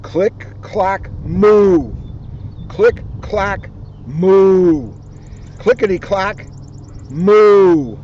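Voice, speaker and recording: Older guy doing an outdoor storytime, slow, pretty high voice for a man, tosses in jokes, a bit of background noise. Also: highs cut off. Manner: dramatic reading with joking asides. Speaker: police officer reading aloud. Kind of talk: expressive children's story read-aloud. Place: outdoors on an open grassy lawn. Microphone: camera a short distance away.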